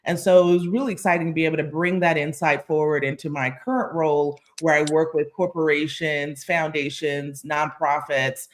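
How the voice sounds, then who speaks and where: A woman's voice: low-pitched, fairly even intonation, normal speed, hardly any pauses. A woman speaks as a panelist on a discussion. She presents her professional background in a self-introduction style. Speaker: panelist, climate finance leader.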